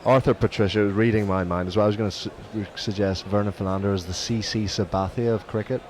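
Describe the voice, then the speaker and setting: A man's voice: on the low side, steady tone, fast, darkish tone, a little hiss or hum. A man co-hosting a cricket radio show, reading out listener messages in chatty, rambling banter. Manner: chatty, rambling, self-correcting.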